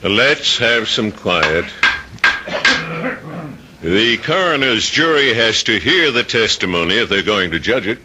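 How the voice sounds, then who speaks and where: An actor playing a formal, authoritative coroner in a radio drama, official and deliberate. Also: male voice, lowish voice, animated, very noisy. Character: formal, authoritative coroner presiding over an inquest. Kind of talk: old-time radio drama acting.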